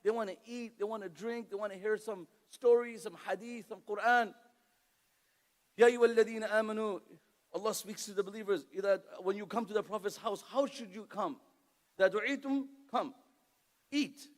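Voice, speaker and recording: An impassioned male preacher with a high voice. His sermon rings with the long reverb of a large hall, and his Arabic recitation comes fast and fluent. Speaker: Islamic preacher. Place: lecture hall.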